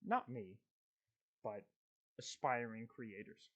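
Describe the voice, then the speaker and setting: A man's voice: medium pitch, slow, fairly bright tone. A man hosting a podcast, chatting loosely and swearing freely as he tells gaming stories. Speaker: podcast host. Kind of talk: casual interview chat. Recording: slightly dull lo-fi sound.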